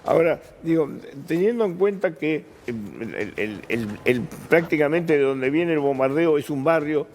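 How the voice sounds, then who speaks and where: A man's voice: on the high side, expressive, normal speed, few pauses, darkish tone, slight background noise. A man with an Argentine accent, a news presenter improvising questions and repeating words as he forms them.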